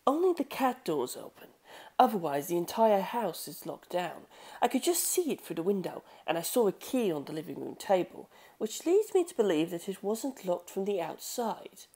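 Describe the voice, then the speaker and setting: A woman's voice: medium pitch, big pitch swings, moderate pace, mellow, husky. A blunt, intensely curious male artist as a woman narrator plays him. The character talks in an assertive, analytical way, as in an audiobook mystery. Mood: cool, matter-of-fact.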